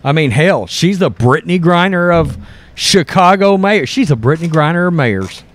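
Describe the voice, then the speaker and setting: Guy with a slightly high voice doing opinionated news commentary, blunt n sarcastic, kinda fed up. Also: lively intonation, normal speed. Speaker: host of an online news commentary channel. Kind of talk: opinionated political commentary mixed with reading news aloud.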